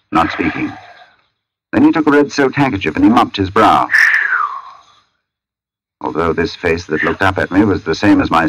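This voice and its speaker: An actor playing a brooding, polite gentleman narrator in a 1940s radio drama, measured, literary and intense. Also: deep voice, husky, full character voice, poor audio. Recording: old radio broadcast with music.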